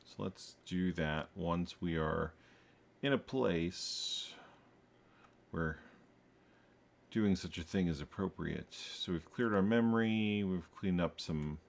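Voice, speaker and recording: A man's voice: sits low, slow, creaky. A man narrating his own programming as he works, thinking aloud with wry asides and frequent self-corrections. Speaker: programmer streaming his coding. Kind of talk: thinking aloud while coding. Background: faint keyboard typing.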